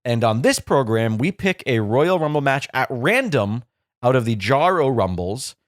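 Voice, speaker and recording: Congested, lively male podcast host. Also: medium pitch, moderate pace, no echo, studio quality. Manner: playful, joking, animated. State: congested and nasal. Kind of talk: casual podcast chat. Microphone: studio microphone.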